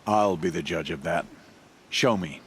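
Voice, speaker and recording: A man's voice: low voice, measured pace, fairly bright tone, slight background noise. A man playing a cranky, wry village trader in a video game, with a sardonic, grumbling, offhand manner. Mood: grudging, wry. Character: cranky, wry male village trader dealing illicitly with outcasts. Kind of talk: video game cutscene acting.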